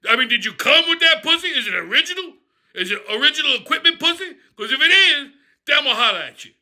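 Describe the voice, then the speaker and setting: A man playing a profane, loudmouthed character who rants and shouts in a comedy bit. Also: extremely high pitch, animated, quick pace, voice pushed up, exaggerated voice. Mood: ranting. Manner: profane ranting, shouting, mocking. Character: foul-mouthed loudmouth man named Crazy Rufus.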